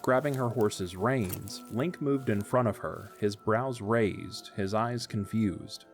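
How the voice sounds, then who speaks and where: A man narrating an audio drama in a calm, measured storytelling manner. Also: lowish voice. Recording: soft music behind.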